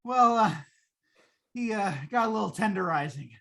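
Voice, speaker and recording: A woman's voice: mid-range voice, animated, vocal fry, fairly bright tone. A woman playing a jittery, wheedling, wisecracking repairman in a 1950s radio play, talking in slangy patter. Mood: triumphant, shaky. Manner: slangy fast-talking gangster-style patter. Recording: noisy live radio play stream.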